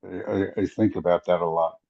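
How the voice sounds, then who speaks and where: Low, dark-voiced older man, reflective. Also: steady tone, brisk, gravelly. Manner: reflective, easygoing, trailing off. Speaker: podcast guest. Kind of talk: casual conversation. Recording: dull lo-fi audio.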